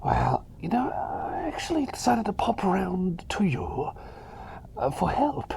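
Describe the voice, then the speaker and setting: A man playing a cheery, eccentric plague doctor in a whispered ASMR roleplay, polite, chatty and hesitant, bumbling along with excitable eagerness. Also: sits high, measured pace, gravelly, noisy background, exaggerated voice. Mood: earnest, hushed.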